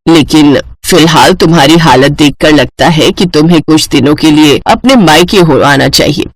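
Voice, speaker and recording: Woman acting middle-aged mother-in-law, low pitch, calm, firm, cartoon story. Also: normal speed, dry recording, rough recording. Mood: gentle, understanding.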